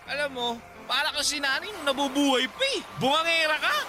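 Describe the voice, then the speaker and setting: A man playing a drunken, hot-tempered older brother in a Filipino radio drama, taunting and loud. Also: very high voice, brisk, music in the background. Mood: sarcastic, irritated.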